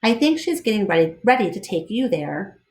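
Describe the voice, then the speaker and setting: A woman voicing a gentle, thoughtful, protective big male gorilla in a storybook read-aloud. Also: medium pitch, big pitch swings, fairly quick, echoey room, lightly acted. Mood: earnest explaining.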